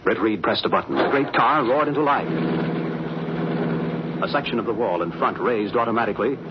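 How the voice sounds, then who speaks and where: An actor as the dramatic narrator of a 1940s radio crime serial, reading scripted story links. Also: male voice, mid-range voice, brisk, very noisy, close to an everyday voice. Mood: dramatic, suspenseful. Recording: muffled 1940s radio recording.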